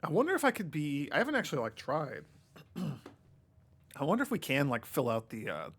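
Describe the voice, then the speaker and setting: A man's voice: on the high side, wildly swooping pitch, normal speed, a bit bright, slightly rough. A congested, sniffly man hosting a let's play at home, thinking aloud casually and reading game text out as he plays. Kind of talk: casual gameplay commentary. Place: home, indoors.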